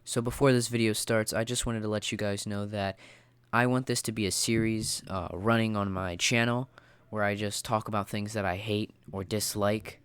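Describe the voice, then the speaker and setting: A man's voice: lowish voice, steady tone, moderate pace, fairly bright tone, smooth, no echo. A man with a stuffy, congested nose from being sick, ranting casually and with lots of fillers as a YouTuber. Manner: rambling, opinionated, many fillers.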